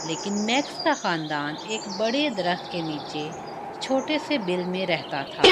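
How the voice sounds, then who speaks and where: A woman narrating a scripted story for children. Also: mid-range voice.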